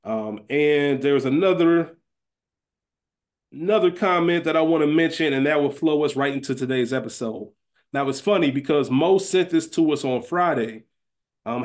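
Casual low-key man hosting a podcast. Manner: informal, slangy, conversational. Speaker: podcast host. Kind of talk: casual podcast chat about television.

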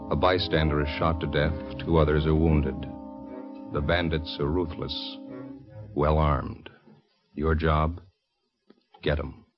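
Male radio announcer, extremely deep and monotone, solemn, over loud music on a dull old broadcast. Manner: grave, solemn delivery. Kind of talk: 1940s radio drama opening.

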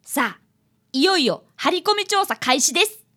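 A woman's voice: high-pitched, sing-song, normal speed, sharp tone, exaggerated voice. A woman voicing an earnest, polite fifth-grade boy, an apprentice detective who also narrates a radio drama. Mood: eager. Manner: polite, eager, clearly enunciated.

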